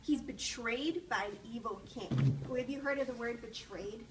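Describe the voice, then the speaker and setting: A woman reading a children's storybook aloud in an expressive, encouraging way, pausing to ask questions, recorded from a distance. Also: a little high. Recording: distant mic with faint background noise.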